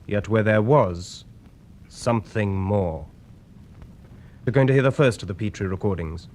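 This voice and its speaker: An actor playing an earnest male journalist, narrating a radio drama in a measured, portentous way. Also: fairly low pitch, darkish tone, lightly acted. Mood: solemn, intriguing. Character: earnest male journalist presenting archive tapes.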